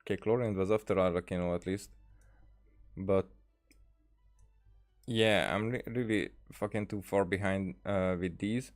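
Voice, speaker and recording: Male game streamer, lower register, unhurried and rambling, casual chat with halting delivery. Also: steady tone, faint music behind. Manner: rambling, halting, trails off mid-thought. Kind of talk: casual livestream chat over gameplay.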